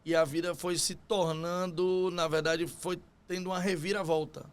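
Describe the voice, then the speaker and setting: Podcast guest: male business owner with a Bahian accent, slightly high-pitched voice, talking through his story in conversation. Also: flat delivery, moderate pace, clean tone. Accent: Bahian Brazilian Portuguese. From Salvador, Bahia, Brazil.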